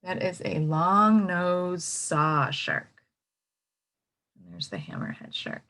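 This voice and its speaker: Animated woman reading aloud to children. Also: lowish voice, takes it slowly. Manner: expressive, simple explanations addressed to young children. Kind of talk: children's read-aloud with explanatory asides.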